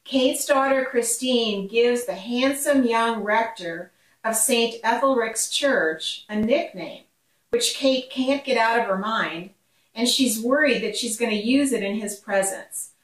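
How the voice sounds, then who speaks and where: Higher-voiced older woman in echoey kitchen. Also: measured pace. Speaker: mystery novelist. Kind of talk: scripted book introduction to camera. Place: home kitchen. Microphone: camera a few steps away. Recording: roomy kitchen echo, distant microphone.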